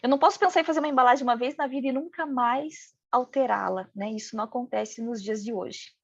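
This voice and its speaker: A woman's voice: on the high side, quick pace, gravelly, husky, no echo, lo-fi sound. Lecturing woman with a regional Brazilian accent. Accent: regional Brazilian Portuguese. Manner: talks in first person as a business owner, many "né" tags. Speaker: packaging design instructor. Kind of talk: online training lecture.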